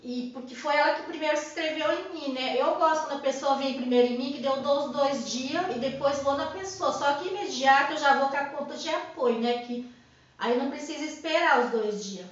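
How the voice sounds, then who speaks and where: A Brazilian woman in her forties with a Brazilian Portuguese accent talks to her viewers in a direct, chatty, repetitive way. Her voice sits high, and it rings around a bare room. The sound is dull, from a camera across her desk. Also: a little fast, heavy reverb. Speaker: YouTube channel host. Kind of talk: casual chat to camera. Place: small indoor room with plants and a monitor. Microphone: camera in front at desk distance.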